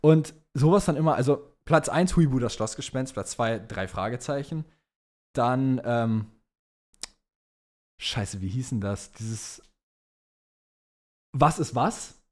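A man's voice: medium pitch, expressive, takes it slowly, long pauses, darkish tone. A young adult man co-hosting a podcast, chatting casually with plenty of youth slang and emphatic opinions. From German, partly raised in Dubai. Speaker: podcast co-host.

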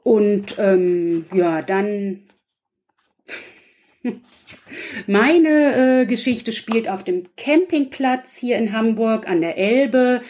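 A woman's voice: fairly high pitch, lively intonation, drawn-out words, pauses often, a little mellow. A woman talking casually as a guest author on a podcast, explaining things in a chatty, loosely structured way.

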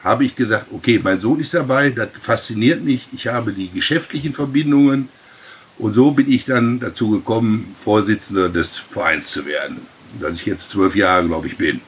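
Husky middle-aged man, halting and long-winded. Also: fairly low pitch. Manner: hesitant, many fillers, long winding sentences. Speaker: rugby club president. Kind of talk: casual interview answers.

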